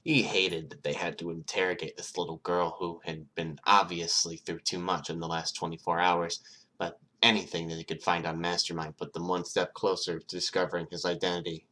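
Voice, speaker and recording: A low-pitched narrator reads fiction aloud. The delivery is scripted storytelling, in the manner of a homemade audiobook. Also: sharp tone.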